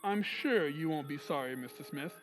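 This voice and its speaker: A man's voice: fairly high pitch, lively intonation, normal speed, soft background music, natural voice. A man playing a suave, silky dealer in lifelike puppets. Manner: suave, assured salesman's patter. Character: smooth-talking male maker of lifelike marionettes. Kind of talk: old-time radio drama recreation. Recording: long reverb on stage.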